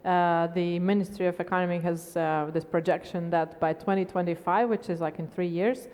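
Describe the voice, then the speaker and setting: A woman's voice: fairly low pitch, fairly even intonation, moderate pace, a little mellow. A woman, moderating a conference talk in a conversational way. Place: large conference stage. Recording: reverberant conference hall.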